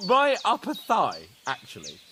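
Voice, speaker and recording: Cocky young noble knight, game cutscene; swaggering, jesting, bright-pitched and lively. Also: male voice, a little hiss or hum, heavily acted. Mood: bantering. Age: young adult. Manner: jesting, lordly and quick-witted. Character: cocky young male knight, joking and boastful. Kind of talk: video game cutscene acting.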